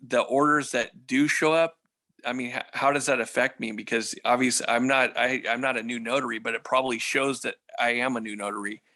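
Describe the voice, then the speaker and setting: A man asking casual questions on a group video call in a halting, hedging way. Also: medium pitch, fairly even intonation, sharp tone.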